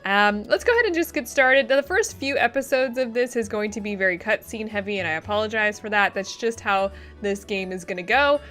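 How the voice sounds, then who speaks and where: Casual woman gamer over soft music. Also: mid-range voice, expressive, fairly quick, hardly any pauses. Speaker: game streamer, let's play host. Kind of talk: casual game commentary. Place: voice-over on game capture. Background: game music.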